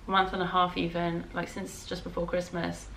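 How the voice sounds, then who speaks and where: A woman with a British accent and a hoarse voice, chatting casually and ramblingly as a knitting vlogger. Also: fairly low pitch, completely flat delivery, moderate pace, a bit bright, slight background noise, poor audio. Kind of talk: casual vlog chat.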